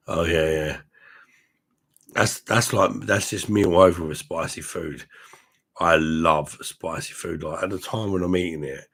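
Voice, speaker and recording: A man hosting a live stream, chatting with his viewers in a rambling, crude way full of swearing, his voice hoarse because he is ill. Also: low voice, a bit bright. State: hoarse, unwell. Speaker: livestream host reading chat. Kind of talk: casual crude drinking chat.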